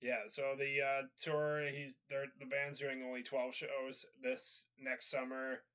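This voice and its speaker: A man's voice: mid-range voice, flat delivery, slow pace, pushed and bright. Sniffly man rambling through a casual rant. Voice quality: slightly raspy. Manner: rambling, with many fillers and repeated "so yeah". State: recovering from a cold, sniffly. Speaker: video host. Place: at home.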